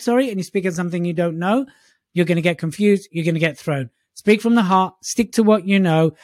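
A man's voice: high voice, no echo, studio quality. A man co-hosting a podcast, giving emphatic motivational tips on public speaking and repeating key phrases for punch. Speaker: podcast co-host. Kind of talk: upbeat motivational tips chat.

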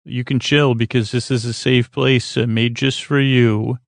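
An American man hosting a bedtime sleep podcast in a creaky, lulling tone, rambling and halting as he stumbles over his words with plenty of fillers. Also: mid-range voice, little pitch movement, dry recording, clean studio sound. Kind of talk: rambling bedtime monologue meant to lull listeners to sleep.

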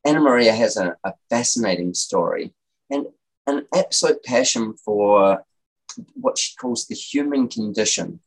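A man's voice: on the low side, breathy edge, low-quality recording. A man hosting a design interview podcast, chatty and rambling, restarting his questions with lots of ums. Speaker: podcast host. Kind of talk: relaxed interview chat.

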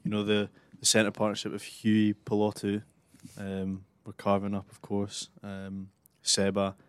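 A man co-hosting a sports podcast, relaxed and modest as he talks about a match. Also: lowish voice, a little slow, smooth, no room sound. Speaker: co-host and player. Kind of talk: casual sports podcast chat.

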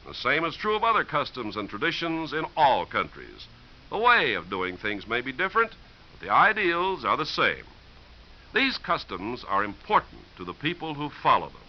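A man's voice: mid-range voice, huge pitch swings, moderate pace, slight background noise. A man with an American accent reading an explanatory script as a 1950s radio announcer, posing and answering his own questions. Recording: dull 1950s radio recording.

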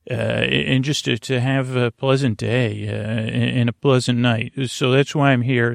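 Creaky-voiced man rambling as a podcast host. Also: medium pitch, no echo, studio quality. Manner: meandering, halting, drawn-out delivery with asides. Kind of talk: rambling bedtime sleep podcast monologue.

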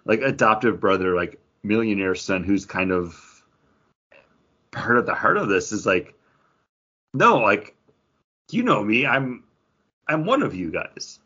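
Man, low husky voice, casual podcast chat, halting delivery with lots of fillers, dull lo-fi audio. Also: sing-song, pushed and bright. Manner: halting, filler-heavy, acting out imagined lines as he talks. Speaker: podcast co-host reviewing comic books.

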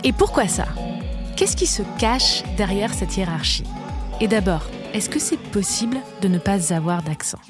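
A woman hosting a podcast, giving clear, measured scripted narration and interviewing guests. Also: mid-range voice, sing-song, over music. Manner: clear, measured, articulate delivery. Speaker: podcast host.

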